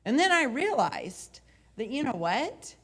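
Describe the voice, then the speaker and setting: Casting: woman in her forties, coach on a conference stage with a handheld mic, loud and chatty, repeats herself. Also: sits high, very animated, a little slow, slightly rough. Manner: loud, conversational, with repeated phrases. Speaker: motivational speaker and coach. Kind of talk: live motivational talk. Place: hotel conference room stage. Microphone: handheld microphone.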